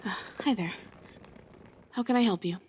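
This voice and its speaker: A woman's voice: medium pitch, normal speed, darkish tone, a little hiss or hum, lightly acted. A woman playing a harried airline worker, polite, hesitant and apologetic in an audio roleplay.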